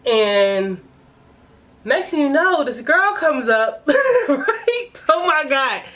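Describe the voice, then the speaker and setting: A woman in her late twenties telling a personal story in a casual vlog, chatty and animated with frequent laughs. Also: sits high, drawn-out words. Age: 20s. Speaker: vlogger.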